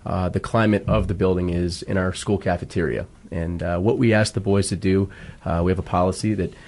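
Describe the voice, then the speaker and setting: A man speaking as a school football coach in a radio interview, earnest and halting as he explains. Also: sits low, fairly even intonation, darkish tone.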